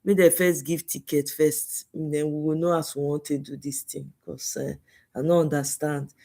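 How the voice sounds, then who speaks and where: Nigerian lady w a deep voice, chatty commentary, drops into pidgin. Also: fairly even intonation, moderate pace, vocal fry, warm tone. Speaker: commentary channel host. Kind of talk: casual reaction commentary.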